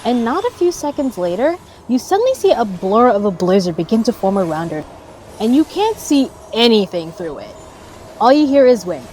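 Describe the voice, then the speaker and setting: Animated female tabletop player. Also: fairly high pitch, moderate pace, slight background noise. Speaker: tabletop role-play player. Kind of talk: narrating her character's actions in a fantasy game. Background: background music.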